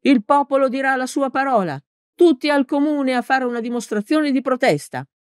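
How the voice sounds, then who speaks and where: A woman's voice: mid-range voice, expressive, normal speed, dry recording, studio quality, voice pushed up, close to an everyday voice. A woman voicing a big, hot-tempered male village mayor in a storybook, blustering and clumsily formal with emphatic delivery. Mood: shouting, rousing.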